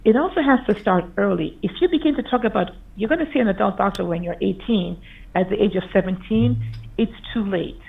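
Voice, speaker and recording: A woman's voice: mid-range voice, fairly quick, gravelly. Woman lecturing over a tinny call line. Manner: earnest, explanatory, with occasional restarts. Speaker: conference speaker, physician. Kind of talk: conference talk over slides. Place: remote video call presentation. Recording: tinny phone-like video call audio.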